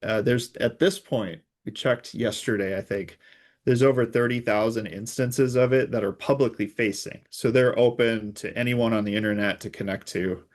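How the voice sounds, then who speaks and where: A man's voice: mid-range voice, steady tone, moderate pace. Man explaining technical details conversationally. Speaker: guest, security researcher.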